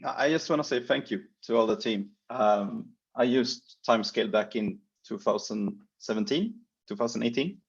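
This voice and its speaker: A man thanking the team on a call, unhurried and grateful, on dull lo-fi call audio. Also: mid-range voice, creaky, husky. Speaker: call participant. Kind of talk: casual thanks.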